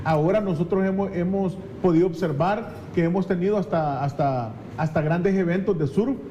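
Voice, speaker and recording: A high-voiced man with a Salvadoran accent explains things as a political guest on a talk show. His tone is soft and mellow, and he often doubles back on his phrases. There is faint noise in the recording. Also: moderate pace, dull audio.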